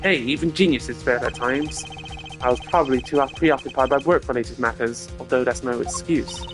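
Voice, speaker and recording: A man's voice: mid-range voice, normal speed, natural voice. A man voicing a smug, slippery prosecutor in a video game, glib and taunting as a suspect. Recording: voice over game music.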